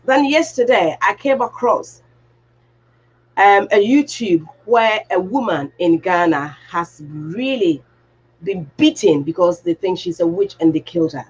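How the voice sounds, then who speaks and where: A woman hosts a radio health talk and asks her guest plain, direct questions. Her voice is husky, and the audio sounds dull and muffled, like a lo-fi radio recording. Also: fairly low pitch. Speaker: radio show host.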